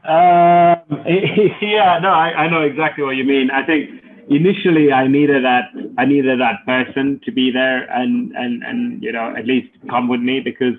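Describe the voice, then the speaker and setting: A man from Kenya speaks as an interview guest in a conversational, earnest way, often repeating phrases as he goes. His voice sits at a medium pitch and comes through a narrow, phone-like call. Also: steady tone, normal speed, a little hiss or hum. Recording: thin phone-like call audio.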